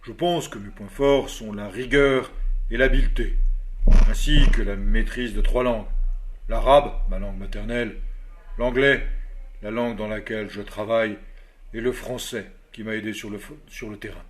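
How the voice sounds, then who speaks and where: An actor playing a composed army officer who answers job interview questions in a measured, formal way, in a scripted learner dialogue. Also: male voice, fairly low pitch, steady tone, natural voice. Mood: calm.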